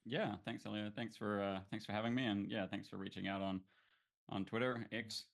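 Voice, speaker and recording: A man with a New Zealand accent, a startup founder talking casually in an interview, relaxed and thoughtful with many fillers and restarts. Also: lowish voice, a little fast, vocal fry.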